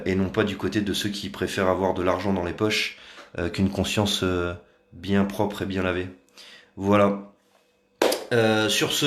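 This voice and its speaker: A man's voice: low voice, reverberant. A man talking casually and sarcastically on a live video from a room at home, with many hesitations. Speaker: activist livestreamer.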